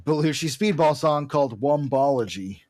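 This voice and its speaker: A man's voice: a little high, animated, normal speed, a little mellow, dry recording. A man co-hosting a podcast in casual chat, telling things in a rambling, digressive way. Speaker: podcast co-host.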